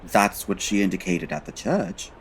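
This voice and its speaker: A woman playing a pompous, dryly impatient male Victorian detective with a husky voice and formal, precise diction, in a comedy audio drama. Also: a bass voice, expressive, normal speed, a little hiss or hum, rough recording. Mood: instructive, dry.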